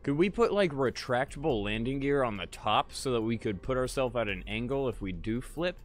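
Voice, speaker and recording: A man live-streaming a game in casual chat, tired and a little raspy, laid-back and swearing freely while talking to viewers. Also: medium pitch, lively intonation, moderate pace, faint music behind. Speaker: gaming livestreamer.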